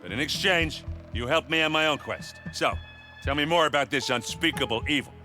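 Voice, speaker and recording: An actor playing a boisterous, simple, food-loving warrior with a blunt, goofy manner in a video game. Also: male voice, on the high side, fairly quick, pushed and bright. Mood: casual, brash. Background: music.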